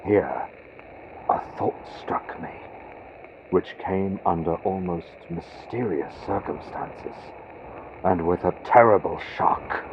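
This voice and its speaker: Tinny vintage-radio male horror narrator, slow. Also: sits low. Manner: theatrical, deliberate, swinging from hushed to loud. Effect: vintage radio sound. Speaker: audiobook narrator. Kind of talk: dramatic scripted horror story narration. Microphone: built-in computer microphone. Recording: tinny phone-like built-in computer mic, noisy. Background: faint storm-like ambient sound effects.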